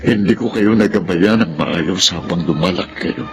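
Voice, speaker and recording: A low-voiced middle-aged Filipino father, full of remorse and love for his children. He speaks haltingly in radio drama style, over prominent music. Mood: remorseful, sorrowful. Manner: halting, emotional delivery. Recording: loud background music.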